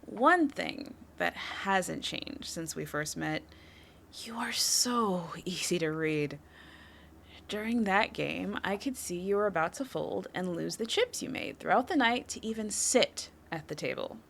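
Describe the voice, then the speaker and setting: A woman acts out a sly, flirty female suspect in an audio roleplay, toying with a detective. Her delivery is unhurried and highly animated, swinging in pitch and settling into a creaky edge. She stays confident, coy and playful. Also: a little hiss or hum, full character voice. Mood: sly, teasing. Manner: coy, teasing, playful delivery with breathy drops into whispers. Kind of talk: flirtatious scripted audio roleplay, addressed to the listener.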